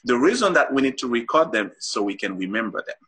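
A man's voice: mid-range voice, very animated, fast, bright, forceful tone, slightly rough. A middle-aged man from Haiti, a guest author on a talk show, explains his ideas earnestly with many fillers and restarts.

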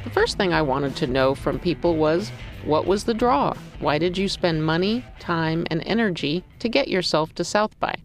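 A woman hosting a business podcast, narrating calmly and asking festival-goers questions. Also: deep voice, creaky. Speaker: podcast host and reporter. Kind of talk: scripted narration and street interviews.

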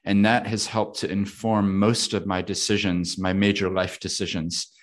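A man with an American accent talks in a low, relaxed voice. He reflects out loud, asks himself questions and laughs easily in casual conversation. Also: normal speed. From United States.

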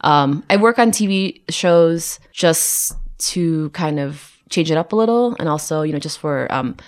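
A woman's voice: deep voice, expressive, unhurried. A woman who grew up in the United States, a production designer chatting in an interview with many fillers, restarts and frequent laughs. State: tired. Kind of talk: casual interview conversation.

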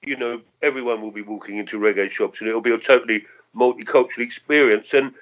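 A man's voice: medium pitch, fairly even intonation, fast, a bit bright, muffled recording. A man in his sixties with a London accent, reminiscing in an interview in a reflective, hesitant way, often circling back to qualify what he says. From London, England. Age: 60s. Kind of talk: reminiscing in an oral history interview.

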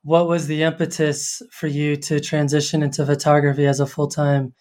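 A host interviewing a guest, asking curious, measured questions in an upbeat way. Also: mid-range voice, level tone, a little mellow. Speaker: podcast host. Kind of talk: interview hosting.

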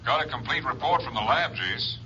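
An actor playing a businesslike police captain giving a lab report in a 1950s radio drama. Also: male voice, medium pitch, animated, a little fast, sharp tone, soft background music. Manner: matter-of-fact, reporting. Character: brisk, businesslike male Ranger captain. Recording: muffled old radio broadcast.

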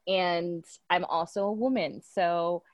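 A young woman with a high, youthful voice speaks at an unhurried, deliberate pace. She is blunt and laughs easily, with casual swearing. The sound is dry, as if the mic is close. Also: fairly even intonation, no room sound, dull audio. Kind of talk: casual chat. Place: her apartment, remote call.

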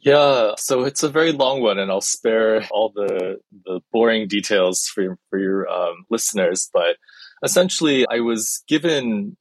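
Low-voiced man, thoughtful and hedging. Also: unhurried, dry recording, low-quality recording. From born in China, raised in the US. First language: Chinese. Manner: thoughtful, hedging, many ums and restarts. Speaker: guest translator. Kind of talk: conversational interview answers.

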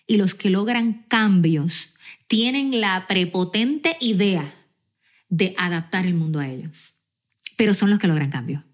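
Puerto rican lady in her 40s, guest on a chat show, emphatic and upbeat, really pushes her points. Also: medium pitch. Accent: Puerto Rican Spanish. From Puerto Rico. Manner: animated, emphatic, motivational. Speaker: podcast guest. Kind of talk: casual interview chat.

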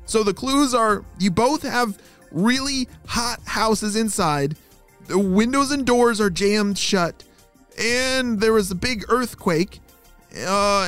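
A man voicing a clever, take-charge young girl in a children's story who talks briskly. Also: sits high, a bit bright, clean tone, pitched above the performer's own voice.

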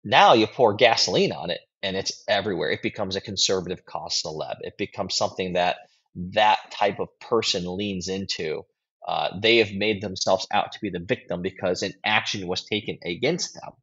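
A man's voice: low voice, normal speed, fairly bright tone, slightly rough. A man giving conversational opinion commentary as a guest, blunt, informal and emphatic. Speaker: guest commentator, political strategist and writer. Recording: slightly dull, lo-fi audio.

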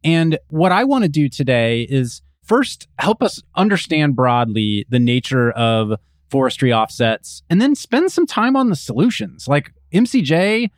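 A man's voice: fairly high pitch, big pitch swings, unhurried, dry recording. Male interview host, dry close sound. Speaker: podcast host and moderator. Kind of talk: conversational panel interview.

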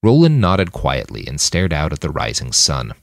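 Deep-voiced male fiction narrator. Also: wildly swooping pitch, normal speed, no room sound, clean studio sound. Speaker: audiobook narrator.

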